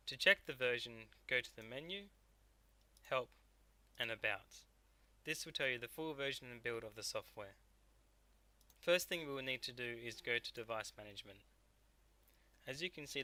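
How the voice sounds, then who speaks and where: A man narrating a software tutorial step by step as a technical instructor. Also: mid-range voice.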